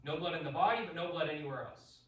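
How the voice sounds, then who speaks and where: A man acts out a rational, authoritative doctor in a radio drama. The voice is fairly high and brisk, a touch flustered, recorded from a distance in a roomy space with faint noise. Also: fairly bright tone, close to an everyday voice. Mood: grave, reasoning. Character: authoritative, rational doctor and host, uneasily polite. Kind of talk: theatrical radio drama acting. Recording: echoey room, distant mic, faint noise.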